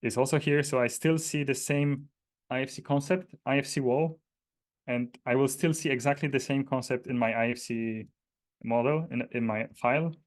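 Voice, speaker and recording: A man's voice: mid-range voice, fairly even intonation, normal speed. A man from Poland with a Polish accent, giving an informal technical walkthrough in a hesitant, explanatory way. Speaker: presenter demonstrating a data dictionary.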